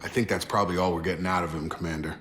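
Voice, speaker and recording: A soldier in a sci-fi video game with a deep voice. He is steady and practical, talking in plain, businesslike terms over background music. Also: racing. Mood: measured, practical.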